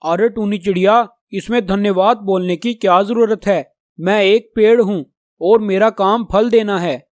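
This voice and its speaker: A man's voice: high voice, normal speed, no echo, studio quality, lightly acted. A man playing a proud, grudging talking mango tree in a children's cartoon, speaking emphatically. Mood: kindly.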